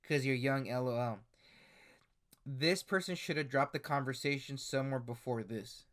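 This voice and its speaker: A man's voice: medium pitch, moderate pace, fairly bright tone. A man in his twenties giving casual commentary on screenshots and articles, hesitant, with many fillers and restarts. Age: 20s. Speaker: commentary YouTuber.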